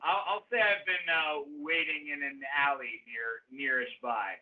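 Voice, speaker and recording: A man playing a tabletop game, speaking hesitantly with many pauses and restarts. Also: a little high, narrow, tinny audio. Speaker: player.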